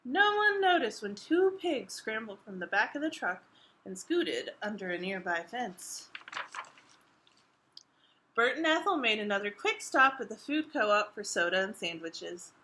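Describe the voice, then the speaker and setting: A woman reading a picture book aloud to toddlers in an expressive storytime manner, pausing to put questions to the young listeners. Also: mid-range voice. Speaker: children's librarian reading a storytime.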